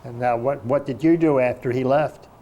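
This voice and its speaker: A man interviewing, asking calm prompting questions. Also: medium pitch, normal speed, highs cut off.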